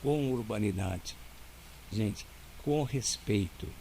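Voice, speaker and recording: A man with a Brazilian Portuguese accent, a lawyer who is the guest, explaining in a relaxed, didactic way and checking in with "né?" often. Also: fairly low pitch, slow pace, creaky, a little hiss or hum. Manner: didactic, explains step by step with many "né?". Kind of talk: casual interview talk.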